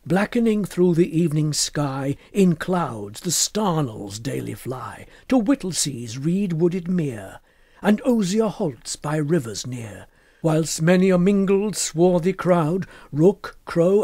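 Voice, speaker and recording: A man reading poetry aloud in an expressive literary style, with measured and deliberate phrasing. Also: on the high side, dry recording, studio quality. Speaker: poetry reader.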